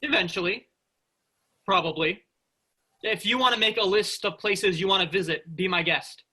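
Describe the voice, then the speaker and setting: Brash would-be starship captain, eager and cocky played by a male actor, high pitch, very sharp forceful tone, sci-fi comedy reading. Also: normal speed, husky, full character voice. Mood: relaxed, playful. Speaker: actor in a student play reading.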